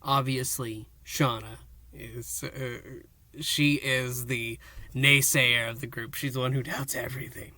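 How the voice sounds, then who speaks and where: A man hosting a fan review and recap, speaking in short, halting phrases with theatrical emphasis. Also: medium pitch, fairly even intonation, pushed and bright, dry recording. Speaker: host of a fan review show. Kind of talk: review and recap to camera, semi-dramatic storytelling.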